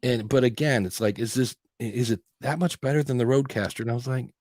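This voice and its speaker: A man's voice: mid-range voice, quick pace, a little mellow, no echo, clean studio sound. Chatty man rambling about gear. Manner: rambling, restarts and self-quotes. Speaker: podcast host. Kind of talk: casual tech chat. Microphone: studio dynamic mic.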